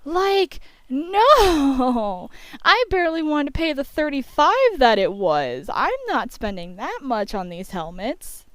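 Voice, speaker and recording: A woman's voice: high-pitched, sing-song, slow pace, a bit bright, dry recording. A young woman telling a story in a casual, chatty voice-over, peppered with filler phrases like "you know". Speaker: YouTube storyteller.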